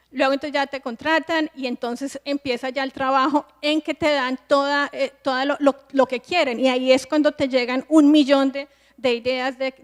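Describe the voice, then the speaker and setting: A high-pitched woman in her forties speaks as a film director and screenwriting teacher on a festival panel. She explains step by step, often restarting mid-phrase as she thinks aloud. Her voice rings with the long reverb of a large hall.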